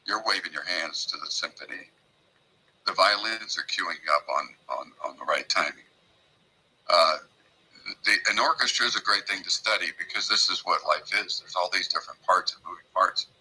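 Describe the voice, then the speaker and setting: Man, guest, earnest motivational talk, deep voice, husky. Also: moderate pace, bright, forceful tone, poor audio. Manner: earnest, storytelling with frequent ums and uhs. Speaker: guest participant. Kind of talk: motivational commentary on a livestream.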